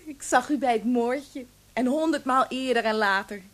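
Female actor for shrewd, saucy innkeeper in radio play; high voice, teasing, self-assured. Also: normal speed, natural voice. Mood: teasing, amused. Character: saucy, warm-blooded, shrewd female tavern keeper. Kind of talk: Dutch radio play acting.

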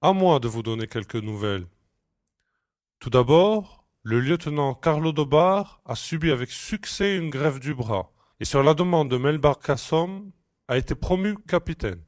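A man playing a courteous, commanding space admiral in a science fiction audio drama, speaking formally and in measured phrases. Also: fairly high pitch, expressive, dry recording, studio quality, natural voice. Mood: gently teasing.

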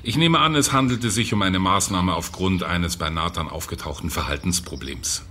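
An actor playing a cynical, callous youth prison director in a German radio drama, condescending and bureaucratic. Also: male voice, sits low, moderate pace, sharp tone, husky, full character voice. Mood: condescending, dry.

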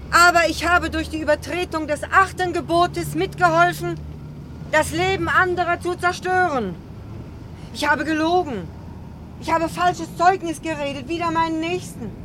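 An actress playing a repentant Salvation Army convert, giving an earnest, declamatory public confession in a radio drama. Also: female voice, very high voice, measured pace, long pauses, fairly bright tone, a little hiss or hum. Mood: solemn confession. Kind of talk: solemn street-preaching in a radio drama.

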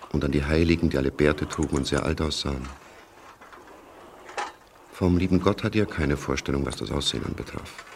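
A man's voice: rumbling low, a little hiss or hum. A man narrating a literary radio play about war, as a storyteller reading scripted prose. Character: male storyteller of a young soldier's war.

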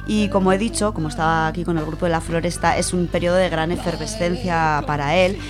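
A woman's voice: lowish voice, steady tone, a little fast. A woman with a Spain Spanish accent presenting an informal radio segment, chatting to listeners with familiar, colloquial asides. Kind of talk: informal talk from notes, biographical radio segment. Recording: music playing behind the voice. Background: music.

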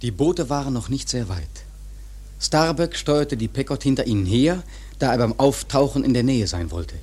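An actor playing a grave seafaring storyteller, narrating a radio drama in a husky, measured, literary way. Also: male voice, mid-range voice, lively intonation, a little mellow. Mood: grave, suspenseful. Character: grave male seafaring storyteller recounting a whale hunt.

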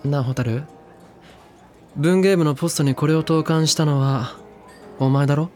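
A man's voice: on the high side, steady tone, a little slow, a little mellow, faint music behind, natural voice. A man playing a confident, pushy younger teenage boy with casual slangy speech in a Japanese anime audio drama. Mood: insistent.

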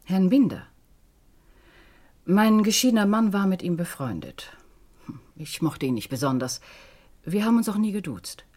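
An actress playing a polite, reserved divorced woman in a German radio crime drama, with a breathy voice. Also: medium pitch, lively intonation, normal speed, long pauses, dark tone, close to an everyday voice. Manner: courteous, reserved.

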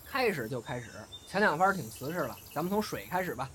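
Man playing a lively, cheeky young crosstalk comedian. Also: fairly high pitch, fast. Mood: grudging, impatient. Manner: theatrical, teasing, playful. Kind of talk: crosstalk comedy dialogue in audio drama. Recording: loud background noise.

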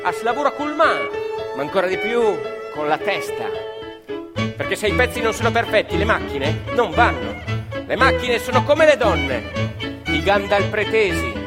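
Boy factory apprentice, high voice, proud, eager, radio drama monologue, loud string music behind. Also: very animated, measured pace. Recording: live violin and music behind.

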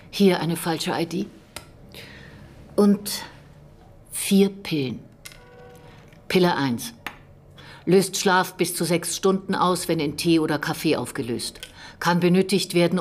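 Radio play casting: female spy handler, slightly low voice, clinical, clipped, matter-of-fact. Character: businesslike female secret-service handler briefing an agent, coolly matter-of-fact. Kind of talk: radio drama, instructional briefing.

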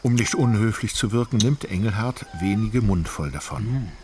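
A man narrating a literary radio drama from a script in a measured, formal, wry manner. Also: on the low side. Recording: clear background ambience noise.

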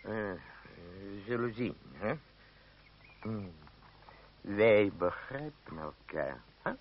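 An actor playing a pompous, middle-aged leader of a travelling theatre troupe in a radio play, stammering and humming in a self-important, fussy way. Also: male voice, on the low side, animated, very slow, heavily acted. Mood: haggling. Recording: dull old radio drama recording.

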